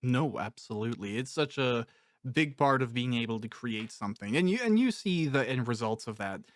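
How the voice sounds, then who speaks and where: A man's voice: mid-range voice, lively intonation, moderate pace, no room sound, clean studio sound. A man hosting a casual interview, talking in an informal, rambling way as he thinks aloud. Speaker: interviewer, podcast host.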